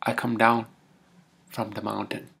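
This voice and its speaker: A man playing a folksy, rambling Minnesotan husband with a Scandinavian-tinged Minnesota accent, telling comic tall tales in halting, homespun grammar. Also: fairly low pitch, little pitch movement, creaky, pushed and bright, breathy edge, full character voice. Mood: bewildered. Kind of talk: comic tall-tale storytelling.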